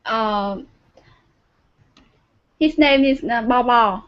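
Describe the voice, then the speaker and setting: A woman with a high voice answering slowly and hesitantly as a beginner English learner. Her voice comes through muffled over an online call. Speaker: language student. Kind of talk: casual class chat. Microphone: computer microphone on a video call.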